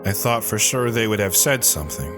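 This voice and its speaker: Stoic wandering ex-soldier male performer, low register, guarded first-person storytelling for a dark audio drama, clear music bed. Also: animated, close to an everyday voice. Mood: weary, grave. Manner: somber, measured, short plain sentences. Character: lone wandering war veteran, guarded, haunted, stoic man. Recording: music behind the voice.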